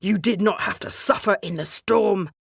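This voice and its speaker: A woman's voice: mid-range voice, moderate pace, creaky, breathy edge, dry recording, studio quality. Woman voicing a solemn, commanding storybook beast. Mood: angry, menacing. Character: huge beast, stern and menacing but sorrowful.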